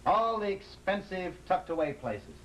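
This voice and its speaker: A man's voice: sits high, sing-song, moderate pace, a bit bright, a little hiss or hum, low-quality recording. A man acting in an old-time radio drama, playing a tender, brooding husband who is a desperate gambler. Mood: indulgent. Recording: vintage radio recording with faint music.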